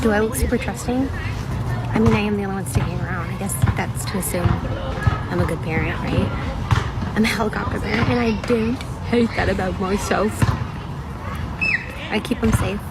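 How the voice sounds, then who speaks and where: A woman's voice: mid-range voice, heavy background noise. A mother chatting casually in a laid-back, self-aware way while outdoors at a park. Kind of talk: casual vlog.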